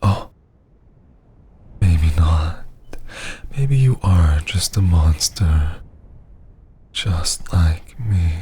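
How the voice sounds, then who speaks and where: A man voicing a domineering, seductive vampire lord in an intimate ASMR roleplay, with a husky, often half-whispered voice and a teasing, condescending manner. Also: sits low, warm tone, heavily acted. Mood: possessive, menacing. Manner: slow, teasing, condescending drawl.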